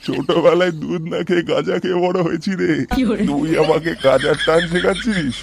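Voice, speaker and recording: A man voicing a crude, boastful old village grandpa ghost in a comedy cartoon, gruff and bossy. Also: on the high side, brisk. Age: older.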